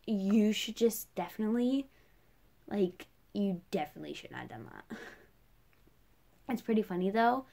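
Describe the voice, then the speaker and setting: A woman's voice: mid-range voice, a little mellow. Young woman rambling casually, chatty vlogger. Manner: chatty, rambling, heavy use of "like". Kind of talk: casual personal rant to camera.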